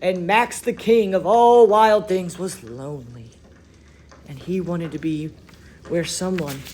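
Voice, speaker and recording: A man reading a children's story aloud expressively, with deliberate, dramatic phrasing that swells and hushes. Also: fairly high pitch, slight background noise. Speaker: storybook reader for schoolchildren.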